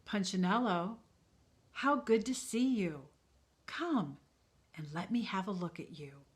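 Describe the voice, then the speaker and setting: A woman voicing a kind, loving bearded woodcarver, speaking softly and tenderly, often near a whisper. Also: mid-range voice, expressive. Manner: soft, slow, tender, often hushed.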